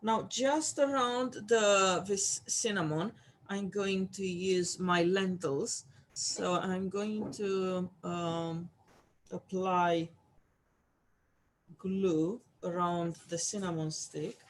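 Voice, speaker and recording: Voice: medium pitch, steady tone, extremely slow, slight background noise, poor audio. An art class instructor from Romania, talking viewers through a craft project in a casual, hesitant way with lots of fillers. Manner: hesitant, many fillers, chatty asides to viewers.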